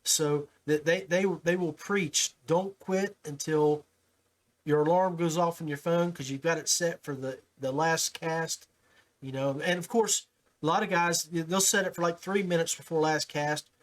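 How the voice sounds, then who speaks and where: A man with an American accent hosts a casual podcast. His voice sits a little high for a man. He speaks in a folksy, informal way, like someone chatting with buddies. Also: steady tone, a little slow. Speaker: podcast host. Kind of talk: casual podcast talk and interview.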